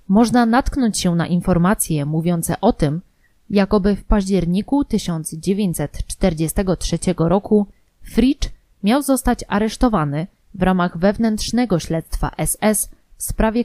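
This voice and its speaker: A woman reading a scripted documentary narration in a measured, formal manner. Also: lowish voice, mellow, no room sound.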